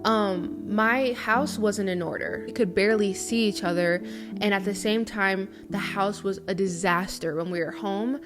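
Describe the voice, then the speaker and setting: A woman's voice: mid-range voice, unhurried. Chatty young woman over guitar music. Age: early 20s. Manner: chatty and earnest, with lots of fillers like "you know". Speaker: lifestyle vlogger. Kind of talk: casual confessional talk to camera. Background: guitar music underneath.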